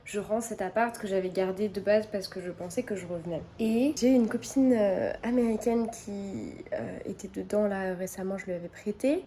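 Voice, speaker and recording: A woman's voice: mid-range voice, warm tone, slight background noise. A young woman with a Parisian accent, chatting casually to the camera in her apartment, slangy and self-mocking. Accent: Parisian French. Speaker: vlogger. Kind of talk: casual vlog chat. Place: apartment, indoors.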